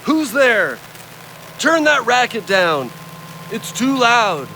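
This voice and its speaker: A man playing a troubled, gruff injured ex-logger in an audio play, muttering to himself and his dog. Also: very high voice, very animated, slow, bright, forceful tone, a little hiss or hum, heavily acted, poor audio. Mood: agitated. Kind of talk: audio drama acting.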